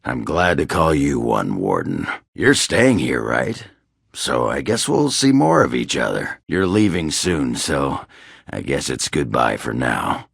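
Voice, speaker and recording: An actor playing a crude, boozy male dwarf warrior in a video game, gruff and slurred, with a rough gravelly voice. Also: rumbling low, very animated, a little slow, a bit bright, no room sound, clean studio sound, heavily acted. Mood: gruff, fond. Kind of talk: video game character acting.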